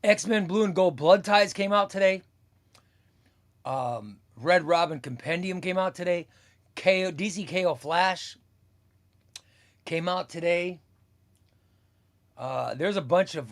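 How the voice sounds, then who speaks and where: Slow, easygoing man in his fifties. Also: on the high side, expressive. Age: 50s. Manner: rambling, repeats phrases, slow and easygoing. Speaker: comics livestream host. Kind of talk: casual chat reading live comments.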